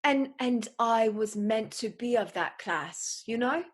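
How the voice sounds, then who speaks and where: A woman's voice: on the high side, expressive, unhurried, breathy edge. Playful woman podcaster with put-on British accent. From New England, USA. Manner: theatrical, playful, peppers talk with endearments like love and darling. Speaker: podcast host. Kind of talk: casual podcast chat.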